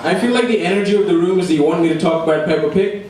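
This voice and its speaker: A young man delivers stand-up comedy in a fairly high voice, with deadpan timing. He is heard from far off in a very echoey room, with clear, heavy background noise. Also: rapid-fire. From immigrated to Australia at age eleven. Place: indoor comedy room before a live audience.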